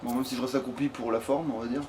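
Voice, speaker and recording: A man's voice: mid-range voice, fairly even intonation, fast, darkish tone, a little hiss or hum. A man giving casual, rambling let's play commentary peppered with swearing. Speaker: let's player.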